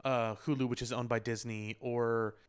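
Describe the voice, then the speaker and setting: A man's voice: medium pitch, level tone, moderate pace, clean tone. A man co-hosting a casual pop culture discussion, talking in a discursive, opinionated way with elaborate wording. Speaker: podcast co-host.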